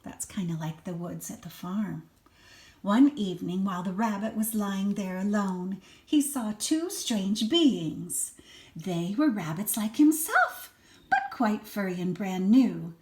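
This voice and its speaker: An older woman, a grandmother, reading a children's story aloud and adding gentle, chatty asides for a small child. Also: mid-range voice, lively intonation, a little slow.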